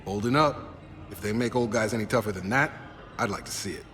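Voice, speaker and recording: A man's voice: fairly low pitch, brisk, a bit bright, natural voice. A man playing a loyal, easygoing ex-soldier in a video game, talking earnestly and familiarly with a comrade. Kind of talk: conversational game dialogue. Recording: reverberant, music behind.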